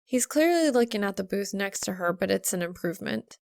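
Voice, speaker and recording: A woman's voice: mid-range voice, lively intonation, brisk, creaky, a little mellow, no room sound, clean studio sound. A woman narrating scripted fiction as an audiobook reader.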